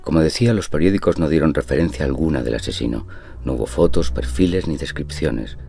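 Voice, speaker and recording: An actor playing an impressionable gentleman who tells his haunted murder tale in a measured, confiding horror-story manner. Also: extremely low pitch, creaky, slightly rough, heavily acted. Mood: grave, measured. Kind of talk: dramatized first-person horror narration. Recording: narration over loud background music.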